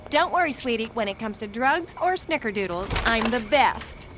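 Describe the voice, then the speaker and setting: A woman playing a high, bubbly motherly chemist. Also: animated, moderate pace, noisy background, close to an everyday voice. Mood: gushing. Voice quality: sweet, gushing.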